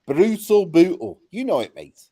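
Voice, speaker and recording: A man's voice: high-pitched, sing-song, moderate pace, mellow. A man playing a brash, foul-mouthed Scouse chancer who baits the police, with a Scouse accent, swaggering and calling everyone lad and mate. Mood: swaggering rant. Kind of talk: comic put-on character on a live chat.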